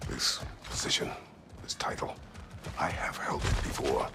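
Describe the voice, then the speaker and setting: An actor playing a stoic, brooding aging god of war in a video game, speaking tersely and formally in a gravelly voice. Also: male voice, measured pace, whispered, lightly acted. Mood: heavy, brooding. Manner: terse, formal, grave speech without contractions. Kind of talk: dramatic video game acting.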